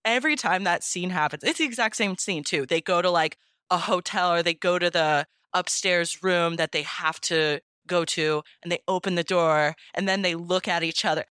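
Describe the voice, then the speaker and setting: Female interview guest, crisp and slightly low-pitched, gushing, informal storyteller. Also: moderate pace, a bit bright, dry recording, studio quality. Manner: gushing, self-mocking, heavy use of "like". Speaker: interview guest, fan fiction audio reader. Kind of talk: casual animated storytelling.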